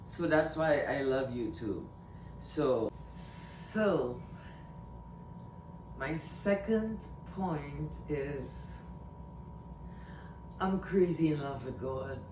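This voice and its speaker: A woman's voice: animated, drawn-out words, pauses often. A woman from Trinidad and Tobago with a Trinidadian accent, talking casually to camera in a rambling way and often repeating herself. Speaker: vlogger. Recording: echoey room, mic at a distance, faint rumble.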